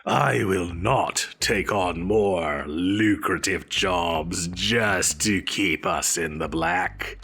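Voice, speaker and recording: A man's voice: low-pitched, drawn-out words, vocal fry, hardly any pauses, sharp tone, slightly rough, heavily acted. A man playing a petulant, theatrical supervillain boss in a comedic audio roleplay, with a pompous, melodramatic manner. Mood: indignant, pompous. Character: petulant, theatrical male supervillain boss, grandiose but exasperated.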